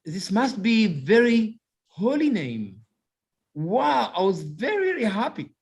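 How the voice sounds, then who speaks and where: Man, Ethiopian accent, middle-aged, slightly high voice, slow and halting, lots of fillers, interview storytelling. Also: huge pitch swings, vocal fry. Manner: halting, searching for words, many fillers. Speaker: rabbi and author.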